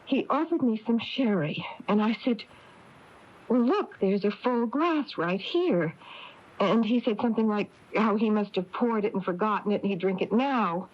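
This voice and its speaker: A woman's voice: mid-range voice, expressive, normal speed, lightly acted. An actress playing an uneasy small-town woman recounting a strange visit in a 1980s radio drama. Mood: uneasy, earnest. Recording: dull lo-fi taped radio broadcast.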